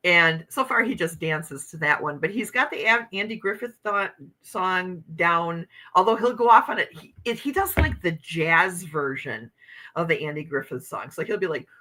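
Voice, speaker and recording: A woman's voice: lowish voice, animated, moderate pace, bright, forceful tone, a little hiss or hum. A woman in her fifties hosting a casual livestream, chatting and laughing as she reads out viewer comments. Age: 50s. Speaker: livestream host.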